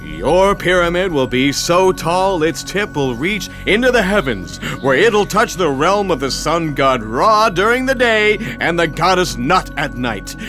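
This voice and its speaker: A glib, squeamish alien shapeshifter, played by a man, talks in a high, very animated voice. He is chatty and fussy in the style of comic sci-fi audio theatre, with prominent music behind him. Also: measured pace, few pauses. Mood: eager salesman. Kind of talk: comedic audio theatre acting.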